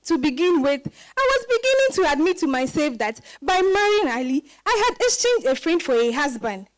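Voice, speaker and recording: A woman performing a reflective wife who recounts a disappointing marriage in a dramatized reading, with expressive storytelling emphasis. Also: very high-pitched, brisk, poor audio. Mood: weary, rueful. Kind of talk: dramatized first-person literary monologue.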